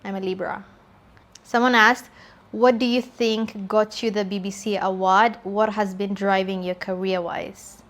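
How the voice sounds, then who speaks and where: A woman's voice: mid-range voice, steady tone, measured pace, a bit bright. A woman in her twenties, a journalist chatting candidly through a get-to-know-me Q&A, laughing easily. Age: 20s. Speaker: journalist and YouTuber. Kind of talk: casual get-to-know-me Q&A vlog.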